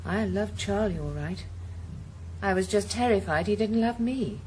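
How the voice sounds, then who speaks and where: A woman's voice: mid-range voice, expressive, normal speed, a little mellow, a little hiss or hum, natural voice, low-quality recording. Woman doing a cool widow, clipped and guarded, voice moves up and down a lot. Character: guarded, sharp-tongued widow hiring a detective. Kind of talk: 1980s radio drama acting.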